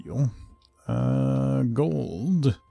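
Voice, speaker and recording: A man's voice: mid-range voice, sing-song, drawn-out words, vocal fry, mellow, soft background music. A middle-aged man streaming a game, chatting casually with viewers and muttering to himself in between. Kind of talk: casual chat while playing a game, replying to viewers.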